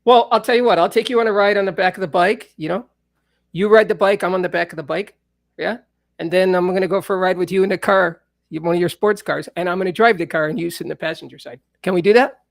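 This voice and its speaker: A man with a high speaking voice in a casual, playful, teasing chat as he hosts another musician. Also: quick pace, gravelly, no room sound, clean studio sound.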